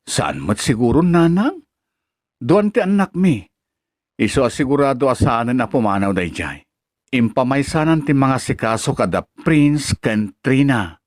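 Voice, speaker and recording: A man's voice: medium pitch, very animated, measured pace, no echo, clean studio sound. A man acting an earnest young husband and father who patiently pleads for peace, with an Ilocano accent, in a radio-style drama. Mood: reassuring. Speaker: voice actor.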